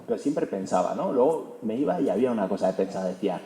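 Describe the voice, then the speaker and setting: A man's voice: fairly low pitch, sing-song, quick pace, dark tone. A young man from Spain giving a fluent public talk about his book, often tagging his sentences with a questioning "no". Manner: fluent, peppers speech with "¿no?". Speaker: author presenting his book. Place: auditorium hall. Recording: echoey hall recording.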